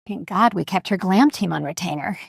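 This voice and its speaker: A woman's voice: on the low side, fairly quick, vocal fry, breathy edge, dry recording. A woman playing a glamorous, middle-aged rich mother in an audio drama, haughty and barbed under false sweetness. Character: glamorous, acid-tongued rich socialite mother.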